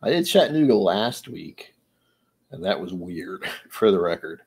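A man's voice: deep voice, very animated, unhurried, darkish tone, husky. A man in his thirties chatting casually on a home livestream, rambling and self-mocking, sometimes trying out joke accents. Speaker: streamer. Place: home room with shelves of bottles.

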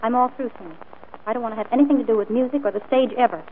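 A woman's voice: fairly high pitch, racing, close to an everyday voice. A young woman playing an earnest, disillusioned housemaid in a 1940s radio drama, plain-spoken. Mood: resigned, firm. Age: young adult. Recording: tinny, noisy 1940s radio broadcast.

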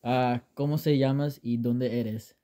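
Young man, very dark soft-edged tone. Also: mid-range voice, fairly even intonation, takes it slowly, a little hiss or hum. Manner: hesitant, careful learner's Spanish. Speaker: interviewer. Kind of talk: simple interview questions.

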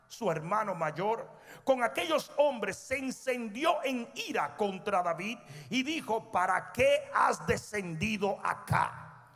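A middle-aged man preaches with a high, raspy voice that leaps wildly in pitch, dropping to hushed asides and then surging to shouted peaks. He calls on the crowd to answer him. His handheld mic rings through a large hall with a long reverb, and faint music plays underneath. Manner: prompts the crowd for responses. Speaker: pastor. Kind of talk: sermon. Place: large church stage before a seated audience. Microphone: handheld microphone.

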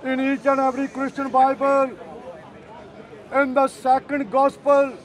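A man's voice: very high-pitched, monotone, unhurried, a faint crowd. An older man debating outdoors in a park, insistent and repetitive in his questions. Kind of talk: street debate.

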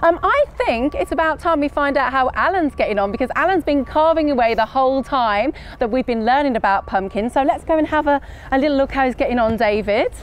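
A woman's voice: sits high, animated, fairly quick, few pauses, a little hiss or hum. A woman in her thirties presenting a live online farm field trip for schoolchildren in an upbeat, encouraging way, recorded on a lapel mic in a polytunnel. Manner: upbeat, encouraging, addresses children.

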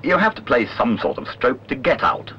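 A man reading a literary documentary narration in a measured, wry way. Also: mid-range voice, wildly swooping pitch, sharp tone. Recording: dull lo-fi old film soundtrack, clear noise.

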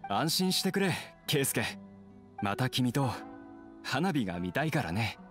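An actor playing a gentle, wistful young man in a video game dub, earnest and soft-hearted. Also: medium pitch, sing-song, unhurried, faint music behind. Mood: reassuring. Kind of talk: Japanese game dub acting.

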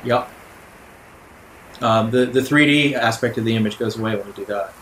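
A man narrating an improvised tabletop role-playing game as game master, in a halting, deliberate way. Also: medium pitch, fairly bright tone. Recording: roomy echo, background room noise.

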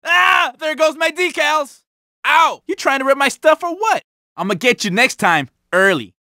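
A man's voice: very high voice, animated, normal speed, bright, forceful tone, no room sound, clean studio sound, exaggerated voice. A man voicing a vain, cocky young street-racer car in a video game, talking in boastful slang with lots of exclamations. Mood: indignant, startled. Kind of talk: video game character barks.

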